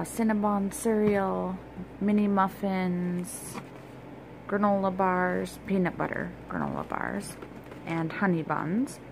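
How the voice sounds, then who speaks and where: Slow, gravelly-voiced woman listing groceries. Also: on the low side, background noise. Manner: chatty, listing items with fillers. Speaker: vlogger. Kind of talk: casual grocery haul show-and-tell. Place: home kitchen and dining room, indoors.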